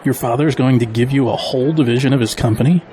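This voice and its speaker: A man's voice: mid-range voice, fast, dark tone, some chatter behind, close to an everyday voice, rough recording. A man playing a dry, wry middle-aged father of a graduate in an audio drama.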